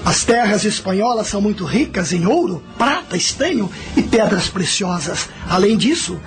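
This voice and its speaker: Man playing a high-voiced, resolute ancient general. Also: expressive, moderate pace. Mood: proud, resolute. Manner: declamatory, resolute. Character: proud Carthaginian general, resolute and ambitious warrior. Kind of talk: radio drama acting. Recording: loud music behind the voice.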